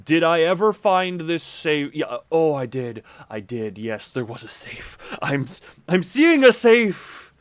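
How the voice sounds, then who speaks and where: A man's voice: on the high side, big pitch swings, measured pace, no room sound. A man doing casual live video game commentary, rambling playfully to his viewers and repeating himself as he exclaims.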